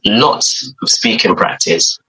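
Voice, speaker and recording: A man's voice: fairly low pitch, expressive, slow pace, bright, forceful tone, slightly rough, rough recording. A man with a London accent, an online English tutor, giving a scripted intro with friendly delivery and frequent fillers.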